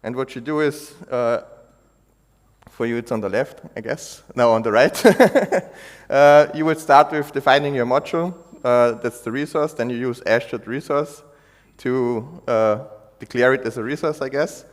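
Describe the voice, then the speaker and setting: A man from Austria giving a technical conference talk, halting with many fillers and restarts. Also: medium pitch. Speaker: conference speaker. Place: conference hall. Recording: echoey hall, long reverb.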